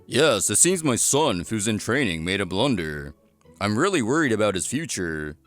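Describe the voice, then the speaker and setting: Man acting jovial fifties restaurateur, wildly animated pitch. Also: normal speed. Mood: concerned, relieved. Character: jovial fish-trading restaurateur in his fifties. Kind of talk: manga voice acting. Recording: music behind the voice.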